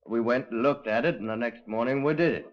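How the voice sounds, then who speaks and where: An actor in a 1950s radio drama playing a dim, meek young crook, hesitant and deferential, with ungrammatical speech. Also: male voice, medium pitch, fast, a little hiss or hum, lightly acted. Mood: nervous, deferential. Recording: dull lo-fi 1950s radio recording.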